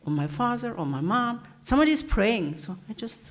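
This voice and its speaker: Woman with halting English. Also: a little high, very animated. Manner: halting non-native English, searching for words. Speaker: panel guest. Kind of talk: panel discussion answer. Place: public debate hall.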